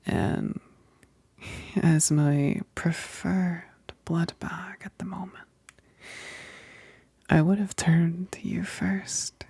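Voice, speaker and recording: A woman acts out a seductive female vampire in an ASMR roleplay, hungry yet holding back. Her whispered voice is lowish and gravelly, full of breath. She lingers teasingly over her words, close to the mic in a dry space. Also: steady tone, extremely slow, long pauses, warm tone, no echo, full character voice. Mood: teasing, menacing. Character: hungry, seductive female vampire, a possessive but restrained best friend. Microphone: close, dry microphone.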